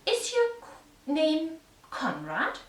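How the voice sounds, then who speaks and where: A woman's voice: sits high, huge pitch swings, slow, voice pushed up, heavily acted. A woman voicing an anxious young storybook queen, speaking tentatively and drawing out each guess, in an echoey room. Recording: echoey room, distant microphone.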